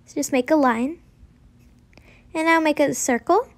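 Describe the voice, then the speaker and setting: A young girl with a high voice talks through a drawing tutorial step by step. Her wording is simple and informal, as if showing a friend what to do next. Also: unhurried. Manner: simple, informal instruction with frequent "like".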